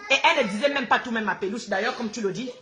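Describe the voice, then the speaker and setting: A woman's voice: on the high side, very fast, a crowd in the background. A woman with a Gabonese accent, a native Fang speaker, ranting live to her followers in an outspoken, combative, repetitive way. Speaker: social media host.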